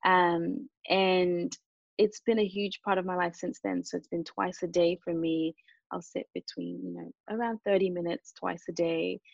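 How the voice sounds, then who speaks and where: A woman from Japan and Australia, a native Japanese speaker, talking earnestly and reflectively as an interview guest, in a calm, hesitant way. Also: fairly low pitch, normal speed, vocal fry, dry recording, lo-fi sound.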